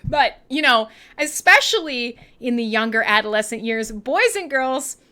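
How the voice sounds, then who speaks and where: A woman's voice: on the high side, expressive, a little slow, bright, forceful tone. A woman with a Western Canadian accent hosting an online radio show, chatting casually and irreverently with listeners, with plenty of swearing.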